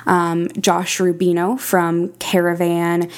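A woman's voice: fairly low pitch, completely flat delivery, slow. A woman speaking as an interview guest, explaining things in an articulate, conversational way. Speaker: podcast critic and audio drama creator, guest.

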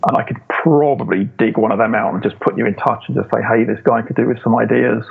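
Very gravelly man on a tinny line. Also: mid-range voice, fairly even intonation, quick pace. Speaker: interview guest, audio drama writer. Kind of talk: casual interview chat. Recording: tinny phone-like remote call, faint music.

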